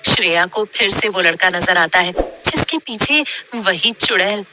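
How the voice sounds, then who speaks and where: A woman's voice: mid-range voice, animated, measured pace, background noise. A woman narrating a scripted horror story as a storyteller.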